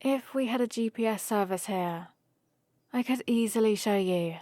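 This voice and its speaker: A woman's voice: fairly high pitch, mellow, no room sound, studio quality, close to an everyday voice. A woman acting a playful, fond young hero from another world in an intimate ASMR roleplay, teasing and talking straight to the listener. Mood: explaining, amused. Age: young adult. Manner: teasing, unhurried, intimate one-sided conversation. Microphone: close studio-style microphone, dry.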